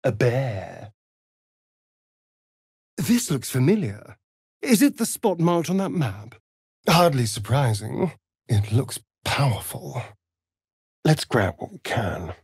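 A sly vampire rogue from a fantasy video game. He talks in an arch, mocking drawl, unhurried and theatrical, with pitch that swoops freely. The sound is dry and close, every vain aside savored. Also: very animated, husky, dry recording, heavily acted. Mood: wry, casual.

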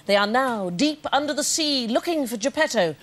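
High-pitched, arch woman narrator. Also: animated, moderate pace, fairly bright tone. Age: middle-aged. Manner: arch, theatrical. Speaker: pantomime narrator. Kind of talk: scripted comic storytelling. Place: TV studio, at a lectern. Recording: studio with audience noise.

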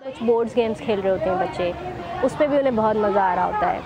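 A woman's voice: medium pitch, dull audio. A young woman volunteer talking conversationally in an outdoor interview among schoolchildren. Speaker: volunteer with children. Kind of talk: interview explanation. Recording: noisy outdoor crowd of children. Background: children's chatter.

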